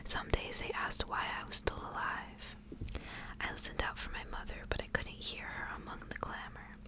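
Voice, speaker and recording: Whispering woman reading a story, ASMR style. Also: moderate pace. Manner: hushed, unvoiced whispering throughout. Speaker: ASMR reader.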